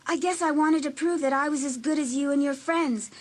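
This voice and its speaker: An actress playing a hurt, insecure, apologetic teenage girl in an audiobook drama, with an emotional, halting, pleading delivery. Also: sits high, steady tone, close to an everyday voice. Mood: wounded, confessing.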